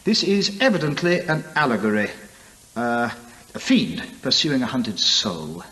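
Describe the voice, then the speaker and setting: An actor playing a curious, bookish English gentleman antiquarian in a radio drama, musing hesitantly in old-fashioned literary language. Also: mid-range voice, sing-song, measured pace, long reverb tail, rough recording. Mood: fascinated musing.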